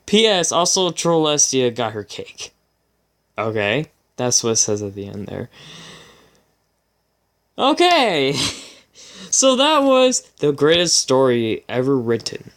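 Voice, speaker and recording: Clear-voiced man reading fan fiction casually. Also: mid-range voice, wildly swooping pitch, takes it slowly, long pauses, a bit bright. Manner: informal, amused, stumbling over words. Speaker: channel host.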